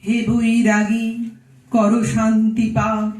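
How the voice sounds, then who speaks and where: Very slow, even-pitched woman reciting solemnly. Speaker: recitation performer. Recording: echoey room, distant microphone.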